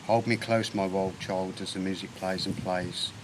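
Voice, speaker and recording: A man reading poetry aloud in measured, separated phrases. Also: deep voice, fairly even intonation, noisy background. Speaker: poet.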